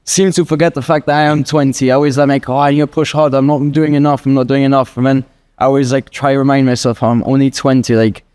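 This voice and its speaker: A young man in his early twenties answers questions with earnest, rambling sincerity, leaning on filler words as he thinks aloud. His voice sits at an ordinary pitch with a mellow, warm tone. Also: little pitch movement, fast, smooth. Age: 20s. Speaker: guest trader. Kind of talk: casual interview answers.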